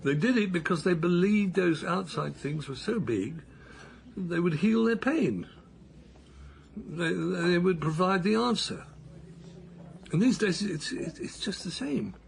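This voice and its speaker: An actor playing an older husband on a pilgrimage walk, speaking in a gentle, musing, wondering way. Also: male voice, high voice, animated, unhurried, creaky, long pauses, slight background noise, heavily acted. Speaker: man on a long walk, husband. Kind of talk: radio drama acting.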